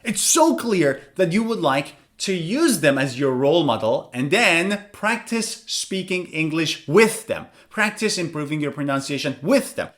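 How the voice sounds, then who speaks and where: A man's voice: fairly high pitch, sing-song, measured pace, few pauses, a bit bright. A man teaching an English pronunciation lesson, asking questions and answering them himself.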